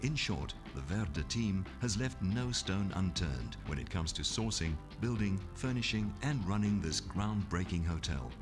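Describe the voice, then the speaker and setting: A man reading polished, salesy scripted narration for a hotel promotional video. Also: deep voice. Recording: promo voice-over over music.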